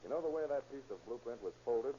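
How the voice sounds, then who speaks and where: An American man playing a brisk, insistent police detective in a 1930s radio crime drama, clipped and businesslike. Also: medium pitch, expressive, dark tone, close to an everyday voice. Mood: confident. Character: brisk, persistent male police detective lieutenant. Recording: scratchy, muffled 1930s radio recording.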